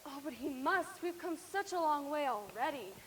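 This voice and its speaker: A woman's voice: sits high, normal speed, slight background noise. A woman playing a kind, earnest young girl heroine in a musical. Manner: sweet, earnest, lively. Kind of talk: musical stage acting with singing.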